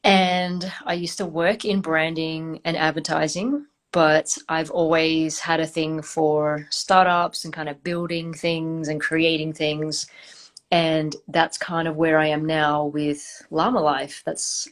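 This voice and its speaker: Low-voiced woman, thoughtful guest. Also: little pitch movement. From Australia. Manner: thoughtful, measured, with hesitant fillers. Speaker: startup founder, guest. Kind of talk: interview answers.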